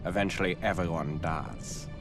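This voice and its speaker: A man's voice: low-pitched, fairly even intonation, moderate pace, natural voice. An actor playing a smug, menacing villain racer in a video game, drawling and mock-polite as he taunts. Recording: music behind the voice.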